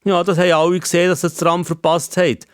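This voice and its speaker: Children's storybook gentleman, voiced by a man: old-fashioned, Swiss-accented, courteous yet cheeky, full of curiosity, pitched up. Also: level tone, fast, smooth, no echo, studio quality, close to an everyday voice. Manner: polite but cheeky, inquisitive.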